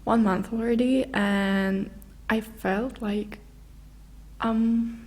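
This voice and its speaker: A young woman from Russia, a guest in a casual interview, talking haltingly as she searches for words in English. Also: on the high side, steady tone, a little mellow.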